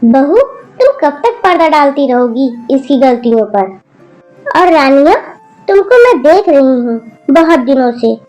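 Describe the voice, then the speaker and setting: A woman's voice: sits high, a little mellow, poor audio. A woman voicing a stern older grandmother who lectures her rude granddaughter firmly. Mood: scolding. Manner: scolding, lecturing, firm.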